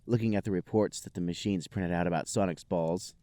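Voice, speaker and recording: A man with a fairly low voice reads a comic fan fiction story as a podcast host. He tells it mock-seriously, and the sound is close and dry. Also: fairly even intonation, fairly quick, mellow, no echo. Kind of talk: comedic narration of amateur fan fiction.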